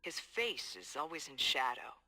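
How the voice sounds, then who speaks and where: An actor playing a determined, hard-boiled police detective dictating earnest, streetwise case logs in a video game. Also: male voice, medium pitch, very animated, moderate pace, bright, forceful tone, soft background music, full character voice. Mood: analytical, concerned. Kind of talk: video game audio log monologue.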